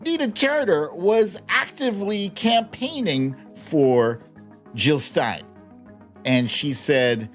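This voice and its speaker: Man with animated, swooping pitch hosting commentary. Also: slow pace, faint music behind. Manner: informal, wry, chuckles often while talking. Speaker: live show host. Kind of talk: casual comedic political news commentary livestream.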